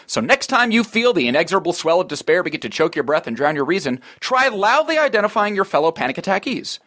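A man's voice: sits high, big pitch swings, quick pace, fairly bright tone, full character voice. A man playing a deluded, disgraced therapist who presents himself as a polished TV self-help host in a comedy table read. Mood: earnest, presenterly. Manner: polished presenter delivery, pompous.

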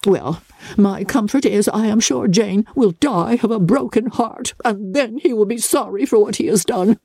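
A woman's voice: mid-range voice, normal speed, dark tone, husky, no room sound, voice pushed up. A woman voicing a fussy, querulous middle-aged mother who chatters fretfully and self-pityingly in a dramatic novel reading. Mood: huffy.

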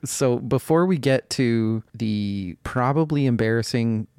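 Guy hosting a podcast, just chatting, medium pitch, dry room sound. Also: a little slow, a little mellow, clear, resonant tone, no echo. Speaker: podcast host. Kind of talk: casual podcast chat.

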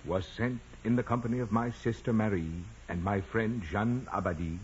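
An actor playing a formal church official, reading testimony aloud in a declamatory way in a 1940s radio drama. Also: male voice, on the low side, normal speed, a little mellow, slight background noise, close to an everyday voice. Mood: formal, solemn. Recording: muffled vintage radio recording.

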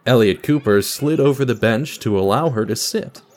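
A man's voice: mid-range voice, moderate pace, darkish tone. A man giving scripted third-person narration for an audio drama.

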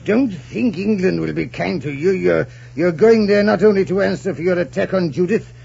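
An actor playing an imperious, scheming colonial governor, pompous and stammering, in 1940s radio drama style. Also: male voice, high voice, normal speed, gravelly, dark tone, husky, full character voice. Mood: vindictive. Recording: muffled 1940s radio recording.

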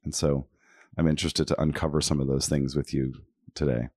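A man's voice: a bass voice, level tone, fairly quick, darkish tone, dry recording, studio quality. A man who is a conductor, hosting a podcast interview in a backstage dressing room and talking in an earnest, enthusiastic way. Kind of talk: warm conversational interview.

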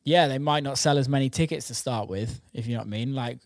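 A man's voice: medium pitch, quick pace, dry recording, clean studio sound. A man hosting a casual interview, chatting informally with plenty of slang and swearing. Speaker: interviewer and host. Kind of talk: casual chat.

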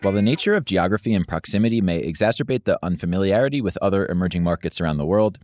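A man reading scripted narration as a podcast host, in a measured delivery. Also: sits low, animated, a little mellow, no echo, studio quality, soft background music.

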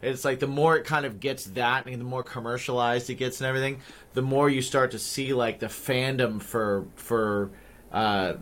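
A man's voice: mid-range voice, fairly even intonation, normal speed, fairly bright tone, a little hiss or hum. A man who grew up in the American Midwest, co-hosting a podcast in laid-back, casual chat full of fillers. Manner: laid-back, many fillers, laughs easily. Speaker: podcast co-host.

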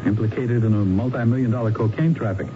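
Man playing male police deputy, low-pitched, matter-of-fact, plain-spoken, 1970s radio drama, muffled noisy broadcast. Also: fast talker, close to an everyday voice.

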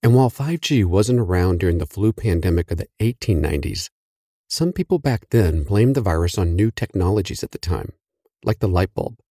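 A man hosting a news podcast, narrating a script in a measured, reflective way and putting questions to a guest. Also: low voice, expressive, warm tone, dry recording, studio quality. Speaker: podcast host. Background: music bed.

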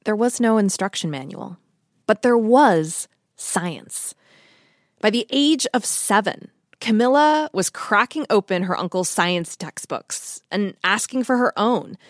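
A woman's voice: mid-range voice, expressive, unhurried, dry recording, clean studio sound. An American woman hosting a podcast with scripted narration. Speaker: podcast host.

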